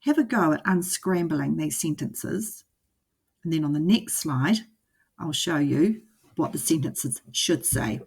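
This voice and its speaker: Husky woman teacher reading to young children. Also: deep voice, lively intonation, darkish tone. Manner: deliberate, clearly phrased. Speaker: primary school teacher. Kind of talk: storybook read-aloud and lesson for young children.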